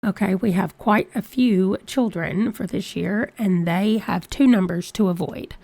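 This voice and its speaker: Lady gamer narrating her sims rolls, kinda stuffy from a cold, slow and dry sounding. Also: mid-range voice, creaky, warm tone, no room sound. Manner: chatty, reads out dice rolls. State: congested from a cold. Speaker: let's play host. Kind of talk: casual game commentary.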